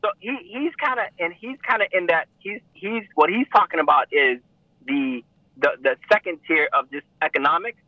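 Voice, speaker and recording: Male co-host, slightly high voice, rambly, heard over a thin phone connection. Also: moderate pace, no room sound. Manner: rambling, restarts with emphatic pitch jumps. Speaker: podcast co-host. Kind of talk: casual chat. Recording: tinny phone-like sound.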